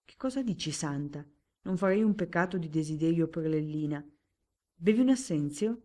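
Lady reading as a sly young marquis, low mellow voice, coaxing and hushed. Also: expressive, moderate pace. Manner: hushed, persuasive, confiding. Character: sly, genial young male marquis, smooth-talking friend. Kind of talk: audiobook character voice.

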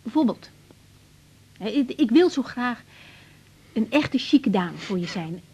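An actress playing a blunt, impulsive young woman doctor in a naturalistic radio drama, halting when she confides. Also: fairly high pitch, sing-song, dark tone, breathy edge, exaggerated voice. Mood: confiding, earnest. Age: young adult. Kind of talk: naturalistic 1980s Dutch radio drama dialogue.

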